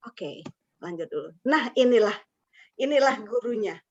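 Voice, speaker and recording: A woman's voice: a little high, animated, normal speed. A woman hosting an interactive online webinar, chatty and engaging listeners with questions. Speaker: webinar host and teacher mentor. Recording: muffled lo-fi online call audio.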